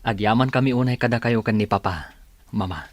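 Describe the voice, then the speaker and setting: A man's voice: lowish voice, a little fast, no echo, natural voice. A man playing a dutiful, respectful grown son about to marry in a radio drama. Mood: grateful, respectful. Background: faint music.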